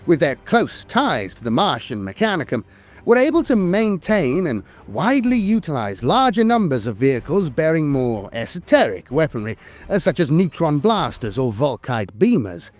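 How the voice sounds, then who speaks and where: A man reading scripted lore narration as an in-world chronicler, formal and measured, with frequent hesitations. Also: on the high side, very animated, darkish tone.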